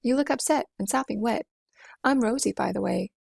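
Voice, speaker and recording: A woman's voice: fairly high pitch, a little fast, creaky, a little mellow, slightly rough, dry recording, clean studio sound, heavily acted. A woman voicing a polite, earnest young girl in a storybook.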